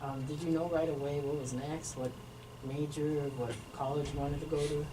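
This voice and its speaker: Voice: medium pitch, fairly even intonation, normal speed. A radio host asking plain, straightforward interview questions. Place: radio studio. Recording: distant mic with background noise.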